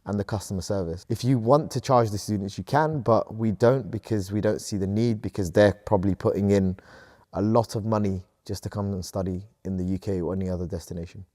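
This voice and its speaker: Low, mellow man from Sudan. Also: fairly even intonation, brisk, dry recording, studio quality. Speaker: company co-founder, interview guest. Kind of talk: answering interview questions.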